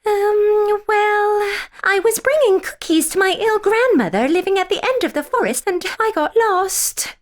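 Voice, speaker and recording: Woman acting, timid innocent little girl, fairy tale cartoon, very high pitched, hesitant, lively intonation. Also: a little slow. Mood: sheepish.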